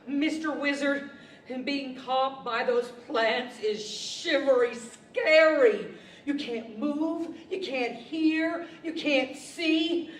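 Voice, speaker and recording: A woman's voice: high voice, slow. A woman playing a timid, dramatic living rag doll girl in a 1940s-style radio play, with melodramatic delivery. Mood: fearful. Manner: melodramatic, rhyming. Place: large indoor library event hall, live stage. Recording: echoey hall, distant mic, faint noise.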